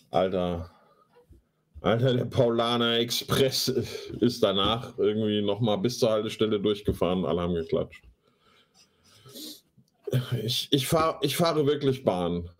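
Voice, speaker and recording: Man, low voice, sarcastic, slangy, talk show host, casual commentary. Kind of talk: casual commentary with mocking read-aloud.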